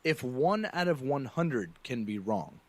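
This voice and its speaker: A man calling in, arguing step by step in a steady, methodical way. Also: mid-range voice, measured pace. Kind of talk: reasoned argument in a played clip.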